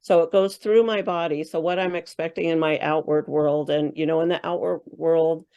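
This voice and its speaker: Woman, low voice, spiritual coach guest, rambling loosely with fillers. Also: steady tone, moderate pace, warm tone, dull audio. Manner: thinks aloud with many fillers and restarts. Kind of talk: casual interview chat.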